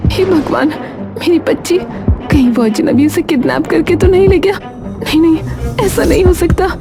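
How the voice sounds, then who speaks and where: A woman's voice: very high-pitched, moderate pace, over music, voice pushed up. A woman voicing an anxious, protective mother in a cartoon story, speaking in a fretful, emphatic and dramatic way. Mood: panicked. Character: anxious, scolding young mother, fiercely protective of her daughter. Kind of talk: animated cartoon story dialogue.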